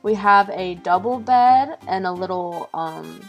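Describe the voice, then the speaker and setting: A woman's voice: mid-range voice, a little slow, dull audio. A bubbly woman with a mid-range voice gushes over a game build, giggling as she goes. Faint music sits under her chat. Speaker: co-host touring a game build. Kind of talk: casual game tour chat.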